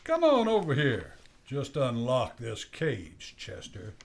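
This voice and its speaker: A man plays a taunting outlaw in a western radio drama. The American voice is gravelly and slow, swinging widely in pitch. He drawls with sullen insolence. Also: huge pitch swings, heavily acted. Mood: threatening. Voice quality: gravelly, creaky. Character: taunting, sullen jailed outlaw of the Old West. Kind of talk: old-time western radio play acting.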